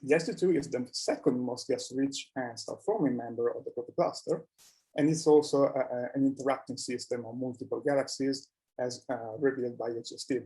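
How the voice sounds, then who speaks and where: A man presenting research in a scientific conference talk, with a halting delivery that often repeats words. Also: medium pitch, fairly even intonation, warm tone, low-quality recording.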